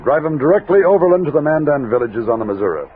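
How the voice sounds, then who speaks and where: An actor playing a steady army captain who narrates and gives firm orders in a 1960s Western radio drama. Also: male voice, a little high, quick pace. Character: steady commanding army captain leading a frontier expedition. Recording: thin lo-fi old radio recording, hiss.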